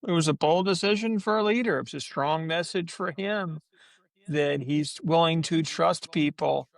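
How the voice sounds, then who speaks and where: A man speaks as a podcast panelist with a fairly high, heavily creaky voice. The sound is very dry. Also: moderate pace, dry recording. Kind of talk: casual discussion.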